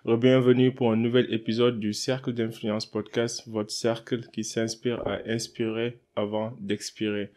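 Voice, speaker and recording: A man's voice: mid-range voice, moderate pace, few pauses. Guy hosting a chill podcast, laughs a lot, lots of euh and restarts. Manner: relaxed, laughs often, many fillers and restarts. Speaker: podcast host. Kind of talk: casual interview.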